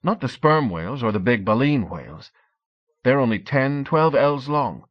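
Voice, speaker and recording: A man's voice: medium pitch, normal speed, highs cut off, pitched above the performer's own voice, close to an everyday voice. A man voicing a cheerful, eager young Norse man with a thick accent. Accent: Norse-accented English. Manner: excited, eager.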